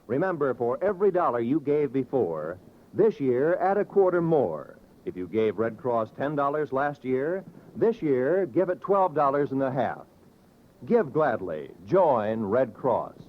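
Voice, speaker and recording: Animated vintage radio announcer, American man. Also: mid-range voice, measured pace. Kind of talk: 1950s radio announcing, scripted. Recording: dull vintage radio recording with music.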